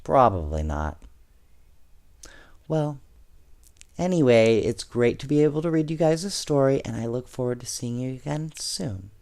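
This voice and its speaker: A man reading children's storybooks aloud in an expressive, measured way, with casual asides to his young listeners. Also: mid-range voice. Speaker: bedtime story reader, host.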